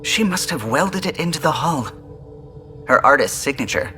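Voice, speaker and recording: A man's voice: fairly high pitch, expressive, moderate pace, pushed and bright, soft background music. An actor playing a respectful, reflective male starship crewman in a video game, speaking sincerely and with measure.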